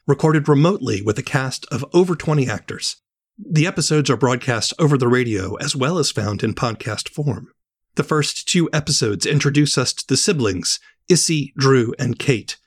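A man hosting a podcast, reading scripted narration in a measured, articulate way. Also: medium pitch. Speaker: podcast host.

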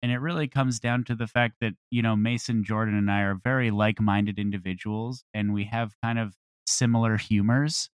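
Casual man, slightly low, dry sound. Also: fairly even intonation, fairly quick, clear, resonant tone, dry recording. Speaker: audio comedy creator being interviewed. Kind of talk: casual interview chat.

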